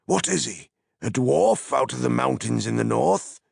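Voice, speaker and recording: Man as stern guard captain, pitched up, forceful. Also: expressive, a little slow, vocal fry, husky, no echo, studio quality, exaggerated voice. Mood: wary, challenging. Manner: formal, challenging, archaic. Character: wary, stern male leader of city guardsmen.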